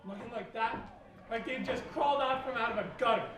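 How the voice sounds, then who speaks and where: Young actor as a high-pitched indignant father, echoey stage. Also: a little fast, background noise. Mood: scornful. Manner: ranting, declamatory. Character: gruff, indignant conservative middle-aged American father and husband. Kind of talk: stage acting in a one-act play. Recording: echoey stage recording, distant mic.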